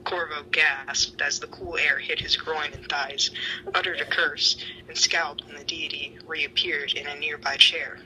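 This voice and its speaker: Bright-voiced man reading dramatically, noisy recording. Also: fairly high pitch, level tone, measured pace. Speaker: fan fiction reader. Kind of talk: dramatic reading of fan fiction.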